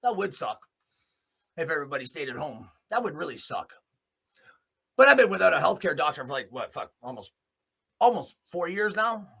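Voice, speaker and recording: A man's voice: a little high, very animated, normal speed, vocal fry, long pauses, sharp tone, husky. A man hosting a solo commentary show, delivering a blunt, off-the-cuff opinionated monologue with free use of swearing.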